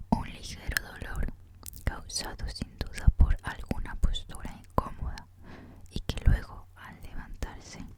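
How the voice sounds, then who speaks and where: Female ASMR reader. Constant breathy whisper, gentle and unhurried, reading prose aloud. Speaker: reader, host of a reading series.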